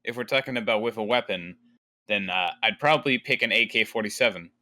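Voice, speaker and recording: A man speaking as a guest creator in a casual podcast interview, explaining himself in a measured way. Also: lowish voice, pushed and bright. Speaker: guest content creator and commentary YouTuber.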